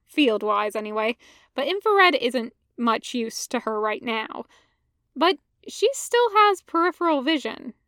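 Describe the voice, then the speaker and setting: Woman w a high voice reading a story out loud, expressive, really dry recording. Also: dry recording. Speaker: podfic narrator. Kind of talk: expressive fan fiction audiobook narration.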